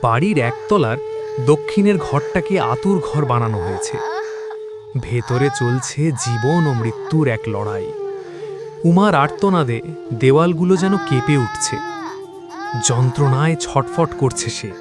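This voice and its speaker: A man's voice: mid-range voice, expressive, unhurried, long pauses. A man narrating a scripted horror story in a dramatic style. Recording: loud background music. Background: prominent dramatic music.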